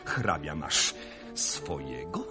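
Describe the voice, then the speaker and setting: An actor playing a foppish, defiant baron with a mocking, eccentric manner in a grotesque radio operetta. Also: male voice, very deep voice, sing-song, slow pace, heavily acted. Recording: 1980 radio play with music behind.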